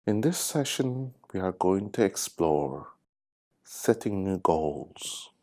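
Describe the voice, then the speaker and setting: A man with a low, deep voice guides a meditation session. He speaks very slowly, in measured and deliberate phrases. Pauses separate his thoughts as he narrates. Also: animated, a little mellow. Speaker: guided meditation host, hypnosis therapist.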